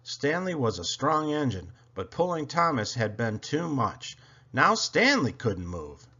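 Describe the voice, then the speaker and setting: A man reading a children's story aloud in an expressive, dramatic, deliberate way. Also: mid-range voice, slightly rough, highs cut off. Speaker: storybook reader.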